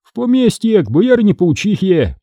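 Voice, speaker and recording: A man voicing a bold, defiant, mocking blacksmith in an audiobook, loud and exclamatory with folksy turns of phrase. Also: high-pitched, very animated, normal speed, no echo, studio quality, voice pushed up, exaggerated voice. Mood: defiant, unhurried. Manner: loud, exclamatory, grumbling and teasing in folksy old Russian speech. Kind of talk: dramatised historical audiobook.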